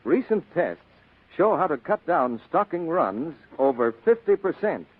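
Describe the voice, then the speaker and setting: An American man announces in scripted 1940s radio style. His husky voice moves widely in pitch. It comes through a tinny, narrow old broadcast. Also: very animated, measured pace.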